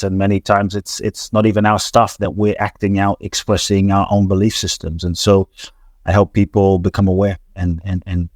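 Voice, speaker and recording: A man's voice: on the low side, dry recording, studio quality. A man who grew up in London, a teacher talking as an interview guest in an earnest, reflective way with many restarts and repeated words. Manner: earnest, repetitive, frequent restarts and stammered repeats. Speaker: transformational teacher, podcast guest.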